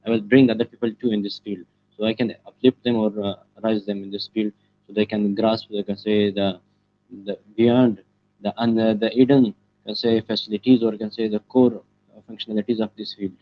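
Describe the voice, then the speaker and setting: A teenage boy from Pakistan whose first language is Pashto answers interview questions over a call. His voice sits low and flat, and he stops often for fillers and restarts. The call audio is muffled and lo-fi. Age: teens. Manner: halting, many fillers and restarts. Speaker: guest, student web developer.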